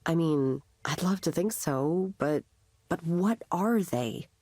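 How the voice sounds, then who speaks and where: An actress playing a discouraged, anxious artist confiding her self-doubt in a visual novel, in a halting, confessional way. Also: sits low, lively intonation, mellow, full character voice. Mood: dejected. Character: discouraged, anxious young woman artist in therapy. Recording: game dialogue under clear music.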